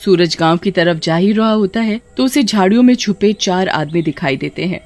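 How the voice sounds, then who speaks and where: Casting: storyteller for animated horror tales, mid-range voice, scripted dramatic narration. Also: medium pitch, normal speed, background noise.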